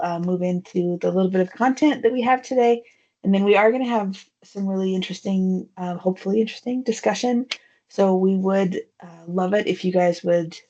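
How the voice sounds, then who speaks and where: A woman's voice: medium pitch, dark tone. A woman leading an online team meeting, chatty and friendly with frequent fillers, heard over a video call. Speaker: meeting facilitator. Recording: dull lo-fi audio.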